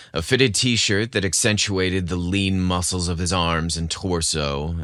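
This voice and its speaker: A man reads scripted narration as a podcast host. His delivery is measured and theatrical, and the recording is close and dry. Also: low voice, a bit bright, no room sound.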